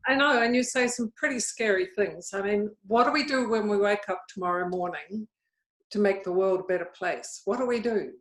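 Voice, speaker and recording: A woman with a mid-range voice interviews an author in a relaxed conversation. She asks her questions with open admiration and curiosity. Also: mid-range voice, brisk, poor audio.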